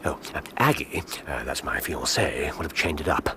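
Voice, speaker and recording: A confident gentleman detective with a low English voice that swings widely in pitch. He is urbane, theatrical and wry, in radio drama style, over clearly audible background noise. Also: animated, moderate pace. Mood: hushed, assured. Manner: theatrical, urbane, formal diction.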